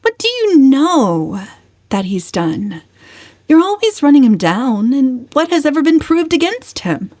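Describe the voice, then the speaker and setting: A woman's voice: high-pitched, sing-song, unhurried, a little mellow, no room sound, clean studio sound, pitched above the performer's own voice. A woman voicing a defiant, coolly impudent young woman in a storybook, with pointed delivery. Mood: insistent. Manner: pointed, insistent.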